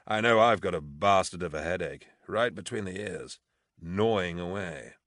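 A man voicing a gruff, commanding military commissar in an audiobook, dry and sardonic. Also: low-pitched, normal speed, fairly bright tone, dry recording. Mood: wry, grumbling. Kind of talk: audiobook character acting.

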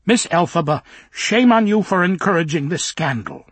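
A man's voice: a little high, very animated, normal speed, a bit bright, husky, no echo, voice pushed up, full character voice. Man doing a scolding middle-aged minder woman. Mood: stern. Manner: scolding, dialect grammar.

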